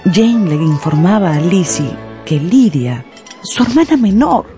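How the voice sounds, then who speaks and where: Lady narrator for a radio drama, warm mellow tone, very animated pitch, pauses for suspense, light music behind.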